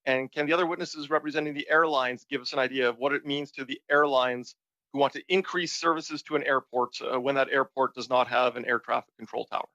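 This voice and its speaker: A man speaking as a politician questioning witnesses in a formal, deliberate way at a committee hearing. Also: medium pitch, fairly even intonation, pushed and bright, no echo. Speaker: member of parliament. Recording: slightly dull, lo-fi meeting audio.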